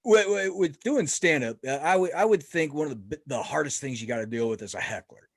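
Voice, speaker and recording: A man's voice: on the high side, fast, dry recording, clean studio sound. A man hosting a casual interview show, upbeat and chatty as he asks questions. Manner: upbeat, chatty, laughs a lot. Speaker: podcast host.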